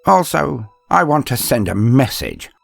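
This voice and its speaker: A man's voice: medium pitch, very animated, unhurried, gravelly, no room sound, faint music behind, pitched above the performer's own voice, heavily acted. A man voicing an eccentric old inquisitor, a gleefully vindictive master speaking with theatrical menace.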